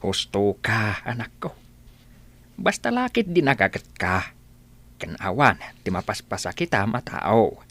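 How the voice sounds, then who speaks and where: A woman playing a gentle, worried old father, speaking slowly and earnestly with an Ilocano accent in a radio-style drama. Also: a bass voice, very animated, full character voice. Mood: tender, solemn. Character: gentle, worried old father advising his grown child.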